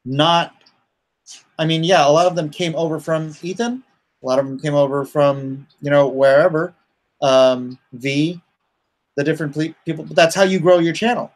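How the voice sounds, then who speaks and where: A man's voice: fairly high pitch, steady tone, moderate pace. A middle-aged man with a nasal voice, chatting casually as the host of a livestream. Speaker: host, comic artist.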